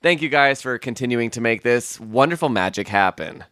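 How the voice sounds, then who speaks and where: A man hosts a podcast in casual, bantering style. His voice is bright and swings widely in pitch. The studio recording is dry and close. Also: lively intonation, normal speed, clear, resonant tone, dry recording. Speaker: podcast host and narrator. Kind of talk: casual banter at a dramatic reading session.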